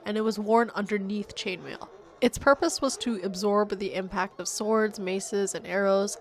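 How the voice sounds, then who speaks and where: A young adult woman hosting a relaxed craft podcast, telling history in a soft, chatty storytelling way with casual asides. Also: mid-range voice, slight background noise. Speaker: podcast host.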